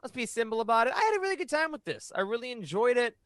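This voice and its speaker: A man in his thirties chatting casually as a podcast panelist, playful and animated. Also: very high voice, rapid-fire, no echo, studio quality. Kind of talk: casual chat. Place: home room, indoors. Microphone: close podcast mic on video call.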